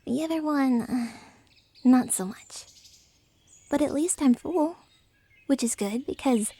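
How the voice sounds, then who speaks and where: A woman voicing a friendly, naive, excitable dragon in humanoid form, chatty and playful in a soft ASMR roleplay style. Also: on the high side, slightly rough, full character voice. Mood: playful, curious. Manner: chatty, playful, casually blunt about eating people.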